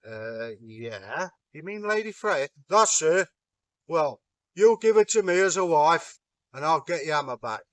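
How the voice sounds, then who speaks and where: A dim, blustering frost giant, put on by a male storyteller, barking his demands. The voice is raised high and loud. The sound is close and dry. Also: animated, unhurried, no room sound, recorded from a distance. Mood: demanding. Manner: loud, gruff, blunt and boastful.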